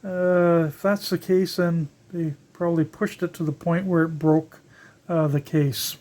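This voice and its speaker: An older electronics hobbyist giving a casual, unscripted teardown narration, halting and musing aloud while working with their hands. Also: medium pitch, steady tone, darkish tone. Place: indoors at a workbench.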